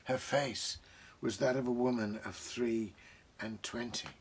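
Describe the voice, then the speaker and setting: A British man in his sixties, a host talking about books and reading aloud, reflective and savouring his phrases with deliberate pauses. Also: mid-range voice, fairly even intonation, slight background noise. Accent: British English. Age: 60s. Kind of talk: bookish commentary and reading aloud. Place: small book-crammed study, indoors.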